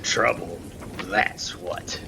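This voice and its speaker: A man's voice: low voice, very slow, gravelly, full character voice. A man playing a seasoned, wry lighthouse keeper in an amateur radio play, speaking in a dry, matter-of-fact way. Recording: noisy rain and storm ambience.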